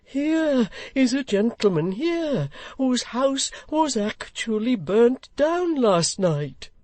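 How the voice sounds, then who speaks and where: A woman voicing a choleric, frantic old gentleman who pleads and protests in indignant outbursts. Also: on the high side, sing-song, takes it slowly, no room sound, voice pushed up.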